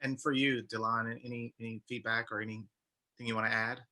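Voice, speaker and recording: Man hosting an interview show, really bright punchy voice, normal pitch, chatty broadcast style. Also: little pitch movement, fairly quick. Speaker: talk show host, interviewer.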